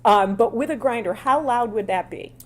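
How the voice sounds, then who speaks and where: A woman attorney questioning a witness in court, with a loud voice and a conversational, plainspoken style of quick follow-up questions. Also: on the high side.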